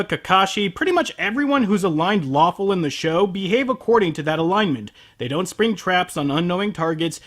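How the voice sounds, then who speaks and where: A man hosting a scripted review show in a high voice with very lively intonation. His delivery is sardonic and emphatic, pitched as comedy commentary. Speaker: anime review show host.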